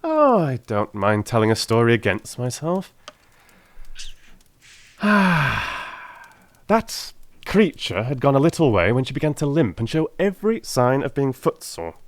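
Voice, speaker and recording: A man playing a brisk, gleeful, self-assured gentleman detective in an audiobook, telling things in an animated, emphatic way. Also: medium pitch, dry recording, voice pushed up. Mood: lively, self-mocking.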